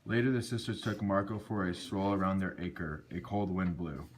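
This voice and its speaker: A man's voice: low voice, moderate pace. A man reading scripted storybook narration for an amateur puppet show. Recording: noisy amateur recording with clicks.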